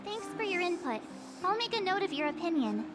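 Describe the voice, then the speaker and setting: A woman's voice: extremely high pitch, very animated, brisk. An actress playing a polite, diligent young female adventurer in a video game. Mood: earnest, attentive. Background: background music.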